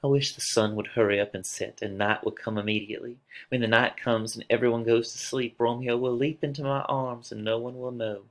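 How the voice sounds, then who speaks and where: A man's voice: mid-range voice, fairly even intonation, a little fast, hardly any pauses, close to an everyday voice. A man playing a lovesick young girl in a dramatic reading of a tragedy, declaiming with open emotion. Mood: yearning. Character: lovesick young girl, impatient, then anguished and grieving.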